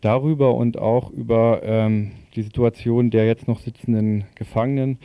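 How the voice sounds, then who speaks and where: Very dark-voiced male radio news presenter. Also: mid-range voice, steady tone, normal speed, clear, resonant tone, no echo. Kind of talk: news report with informal presenting.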